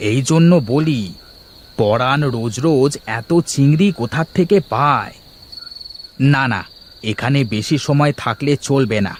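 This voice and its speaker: A man's voice: medium pitch, slight background noise, lightly acted. A man playing a greedy, envious village neighbour in a fairy-tale cartoon, muttering schemes to himself. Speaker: cartoon voice actor.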